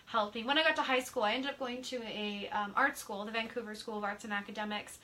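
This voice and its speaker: A chatty woman with a high, bright voice telling her life story as a guest in a casual interview. She laughs often and acts out her younger self with lively quotes. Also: quick pace. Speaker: psychic guest.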